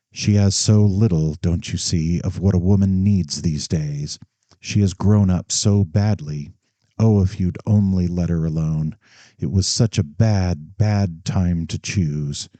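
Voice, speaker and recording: A man reading an audiobook, voicing a quiet, firm grown daughter with a measured, regretful manner. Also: deep voice, no echo.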